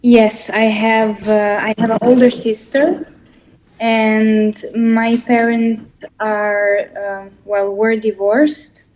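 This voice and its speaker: Slow, halting woman with Serbian accent. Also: a little high, level tone, highs cut off, slight background noise. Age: 30s. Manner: halting, many fillers, soft laughs. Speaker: guest. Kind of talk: podcast interview, personal story.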